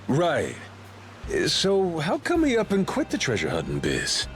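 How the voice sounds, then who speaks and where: An actor playing a brash, carefree pirate captain in a video game, talking in a casual, cocky, slangy way. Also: male voice, a little high, huge pitch swings, a little slow, faint music behind. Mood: curious.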